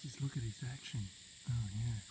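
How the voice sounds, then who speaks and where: A man's voice: medium pitch, steady tone, a little fast. Man in noisy outdoor audio. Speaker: angler in a fishing vlog. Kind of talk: casual admiring commentary. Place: outdoors on a small boat on a river.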